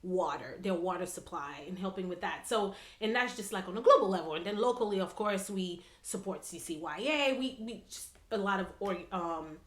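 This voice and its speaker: A woman's voice: medium pitch, moderate pace, few pauses. A woman hosting a casual conversational interview, often chiming in with affirming interjections. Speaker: podcast host. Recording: echoey room.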